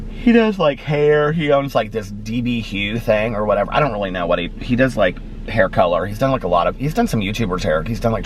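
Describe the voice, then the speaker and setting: A man vlogging from his car, talking casually and rambling with lots of fillers. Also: sits low. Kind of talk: casual chat. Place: inside a car on snowy streets. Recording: clear car noise behind. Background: car and road noise.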